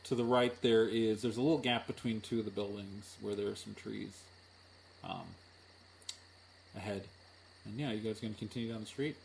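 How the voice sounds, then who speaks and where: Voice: mid-range voice, steady tone, moderate pace, creaky, pauses often, darkish tone, low-quality recording. Game master with noise in the background. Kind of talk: narrating a tabletop game, casual banter.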